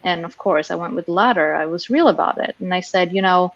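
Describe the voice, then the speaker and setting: A woman from Sweden speaks frankly on a casual panel, stumbling and restarting now and then. Her voice sits low. The recording is dry and slightly dull, as if the highs were trimmed. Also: fairly quick, no room sound. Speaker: sales professional, panelist.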